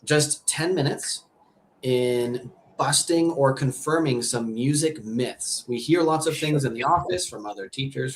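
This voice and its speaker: An upbeat man from America hosts a live teacher webinar in a mid-range voice, chatty and quick to repeat himself. Faint background noise sits under his video-call audio. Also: mid-range voice. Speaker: host, ESL teacher and music producer.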